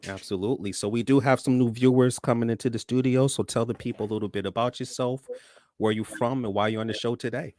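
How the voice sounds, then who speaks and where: A man hosting a talk show, upbeat and warm with his guests, often repeating words as he starts sentences. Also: mid-range voice, steady tone, fast, no room sound. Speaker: host.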